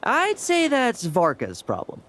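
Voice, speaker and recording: A woman's voice: a little high, huge pitch swings, a little slow, noisy background. A woman playing a sly, nonchalantly amused young woman in a video game, with a teasing, wry way of talking.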